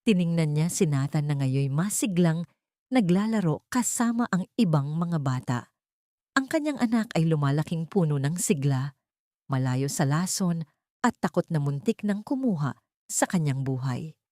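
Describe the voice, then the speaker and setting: Low, mellow female storyteller. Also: expressive, normal speed, no room sound, studio quality. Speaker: storyteller, narrator. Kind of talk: scripted narration of a sentimental audio drama story.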